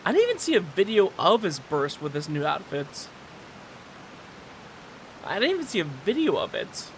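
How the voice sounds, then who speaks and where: A man's voice: on the high side, huge pitch swings, moderate pace, fairly bright tone. Casual male streamer, slightly noisy sound. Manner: slangy, rambling. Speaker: gaming livestreamer. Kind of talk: casual livestream chat. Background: video game dialogue and audio.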